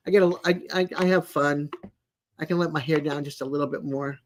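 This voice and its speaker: A woman in her sixties chatting casually as a live stream host, in a rambling, chatty way with frequent pauses. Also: low-pitched, fairly even intonation. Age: 60s. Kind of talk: casual chat with live viewers.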